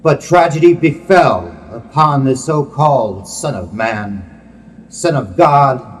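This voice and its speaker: A man giving a solemn, reverent religious poetry reading in a measured, declamatory manner. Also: medium pitch, animated. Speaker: poet reading own work. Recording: long hall-like reverb.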